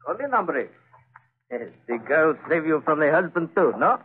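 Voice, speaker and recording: A sly, taunting pirate captain with a Spanish accent. His pitch swings widely through broken English, in old radio adventure style. The sound is tinny and narrow, like a 1940s broadcast. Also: big pitch swings, moderate pace. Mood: sly, amused. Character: sly foreign sea captain and pirate, grinning tough. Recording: tinny, band-limited 1940s radio recording.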